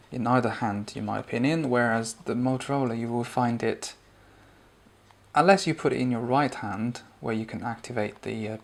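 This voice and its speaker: A man giving a conversational, explanatory spoken product review and demonstration. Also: mid-range voice.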